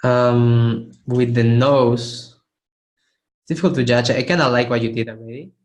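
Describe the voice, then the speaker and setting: A man teaching a live online art webinar, hoarse and losing his voice, who keeps clearing his throat and gives casual, encouraging feedback with frequent restarts. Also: mid-range voice, steady tone, unhurried. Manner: casual, encouraging, restarts phrases while demonstrating. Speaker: art instructor giving feedback. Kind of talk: live webinar critique of 3D sculpts.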